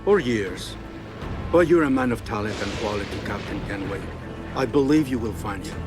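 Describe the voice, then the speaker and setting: An actor playing a dignified, encouraging and authoritative assassin mentor in a game cutscene. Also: male voice, on the low side, expressive, normal speed, music in the background, lightly acted. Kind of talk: dramatic game cutscene acting.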